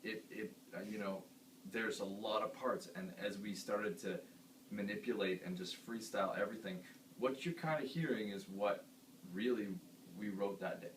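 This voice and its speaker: A man who sings in a band, with a deep voice, chatting casually and earnestly about writing a song. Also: moderate pace. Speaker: band singer. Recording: echoey room, distant mic, faint hiss.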